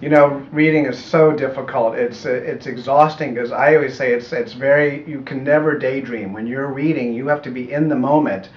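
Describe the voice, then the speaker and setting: A middle-aged American man explaining his audiobook work in a measured, thoughtful way during an interview. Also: mid-range voice, fairly even intonation. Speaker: audiobook producer being interviewed. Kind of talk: conversational interview answers. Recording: echoey room, muffled, faint noise.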